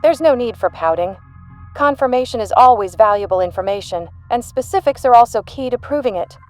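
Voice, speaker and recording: A woman's voice: a little high, lively intonation, fairly quick, faint music behind, close to an everyday voice. A woman playing a shrewd, unflappable human intelligence general in an audio drama, composed, dry and authoritative. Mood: cool, assured.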